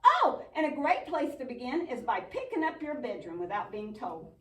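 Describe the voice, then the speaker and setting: A woman's voice: a little high, wildly swooping pitch, moderate pace. A woman playing an earnest, patient but bossy young puppet friend in a church show, explaining and chiding. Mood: teasing. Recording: echoey room, distant mic.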